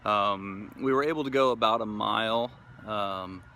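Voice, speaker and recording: Low-ish guy from kansas city rambling about radios outside, lots of uhs and restarts. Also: measured pace, pushed and bright, slight background noise. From Kansas City area, USA. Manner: rambling, many fillers and restarts. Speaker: gear reviewer. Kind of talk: casual product review and field test. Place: outdoors in wooded hilly area.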